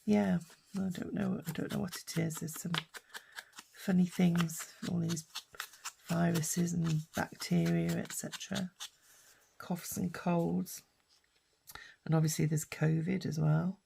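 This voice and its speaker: An older British woman with a husky, hoarse voice from a lingering cough, chatting casually while she crafts. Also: lowish voice, fairly even intonation, slow pace, long pauses, background noise. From United Kingdom. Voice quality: husky, raspy. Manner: rambling and chatty, with many fillers. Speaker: craft vlogger.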